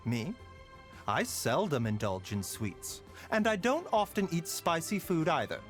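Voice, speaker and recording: Brief: caring game doctor, soft and measured, lively pitch, light music underneath. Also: male voice. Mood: calm. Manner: soft-spoken, measured, sighing. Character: gentle, caring male physician, middle-aged. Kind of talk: game character voice lines. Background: faint music.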